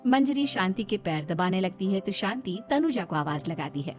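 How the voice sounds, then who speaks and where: Female storyteller, mid pitch, scripted cartoon moral-tale narration, music underneath. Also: big pitch swings, normal speed.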